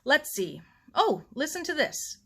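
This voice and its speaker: A woman narrator puts on an older, opinionated storybook uncle who grumbles through his newspaper. The delivery is animated and gruff, with wide swings of pitch. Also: unhurried, exaggerated voice. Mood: reading aloud, exclaiming.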